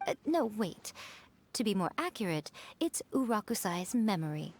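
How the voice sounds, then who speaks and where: A woman's voice: mid-range voice, big pitch swings, normal speed, heavy background noise. A woman playing a sly, elegant kitsune shrine maiden in a video game, speaking with poised, teasing amusement. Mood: poised explaining. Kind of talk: video game character acting.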